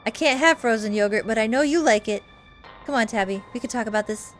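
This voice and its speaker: A woman's voice: on the high side, fast, faint music behind, lightly acted. A woman voicing a friendly, eager, apologetic young woman in a visual novel game, chummy and over-earnest. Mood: pleading.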